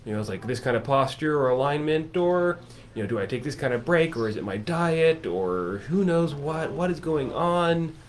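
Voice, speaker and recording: A man hosting a casual chat, enthusiastically pitching a course and piling up lists and vivid comparisons. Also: lively intonation, moderate pace. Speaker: music teacher and course host.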